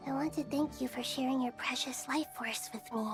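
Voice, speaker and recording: A woman playing a gentle, wise young girl goddess in a video game, soft, gracious and formal. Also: high voice, brisk, over music, natural voice. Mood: tender, grateful. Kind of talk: scripted video game dialogue.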